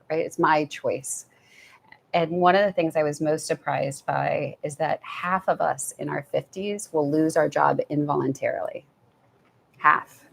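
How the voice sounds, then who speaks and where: A soft-spoken woman with a low voice and a gravelly edge, talking as an author guest at a live event. She is thoughtful, restarts her sentences often, and keeps a gentle tone. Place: event venue with audience.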